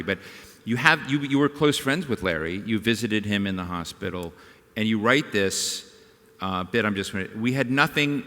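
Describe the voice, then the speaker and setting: A man's voice: fairly low pitch, a bit bright. An American man moderating a live on-stage interview. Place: live event stage with audience. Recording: reverberant hall sound system.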